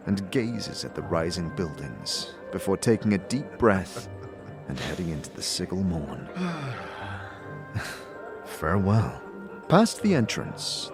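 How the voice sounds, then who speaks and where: A man narrates a fantasy audio drama in the second person, measured and descriptive. His voice is low but lively in its rises and falls, with music playing underneath.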